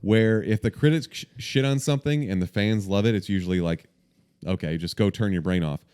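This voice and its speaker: A young man hosting a casual movie podcast, brisk and a bit sweary, running the segments. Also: lowish voice, darkish tone, clear, resonant tone. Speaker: podcast host.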